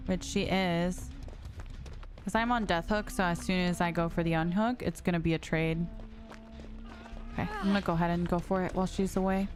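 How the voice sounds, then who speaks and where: A woman's voice: fairly low pitch, steady tone, a little fast, pauses often. A woman in her late twenties chatting casually with her viewers while she plays a game, reading their messages aloud and answering them. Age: 20s. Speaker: streamer. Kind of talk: casual live-stream chat while gaming. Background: background music.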